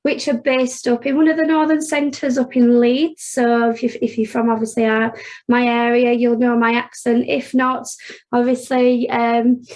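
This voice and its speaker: A woman's voice: on the high side, little pitch movement, moderate pace, a little mellow. Chatty Yorkshire woman tutoring online. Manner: chatty, frequent "okay" and "um", talks students through steps. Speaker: accounting tutor. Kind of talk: live online revision class.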